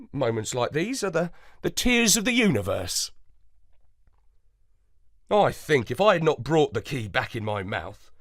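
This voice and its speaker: A man's voice: on the high side, no echo, studio quality. A man playing an earnest, loyal space dog, narrating a scripted children's story expressively. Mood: moved, earnest. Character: earnest, loyal male space dog telling his adventures.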